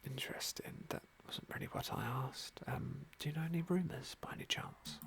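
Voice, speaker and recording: A man giving gentle, musing ASMR roleplay commentary on a game in a soft, breathy whisper. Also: mid-range voice, normal speed. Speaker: game playthrough host.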